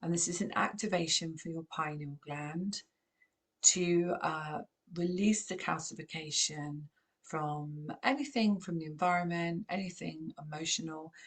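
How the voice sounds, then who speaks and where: A woman with a croaky voice, gently guiding a channeled meditation in a calm, unhurried way. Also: sits low. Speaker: spiritual channeler and host.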